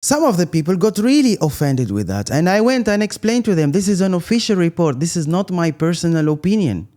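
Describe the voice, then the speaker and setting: A man's voice: high voice, sing-song, a little fast, dark tone. A middle-aged man from Tunisia, a guest in an interview, talking earnestly with many restarts and an emphatic "believe me". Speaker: guest, content creator.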